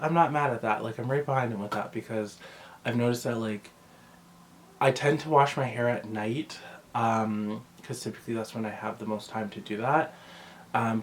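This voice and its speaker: A man's voice: fairly low pitch, background noise. A man talking casually in a vlog, rambling and conversational as he often interrupts himself. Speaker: vlogger. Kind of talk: casual chat to camera about personal routine.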